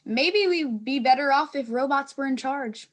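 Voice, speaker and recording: Thoughtful teen schoolgirl, played by a woman, high voice, hesitant and casual, stage reading. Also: lively intonation, normal speed. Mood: dry. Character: thoughtful, hesitant fourteen-year-old girl who prizes being different.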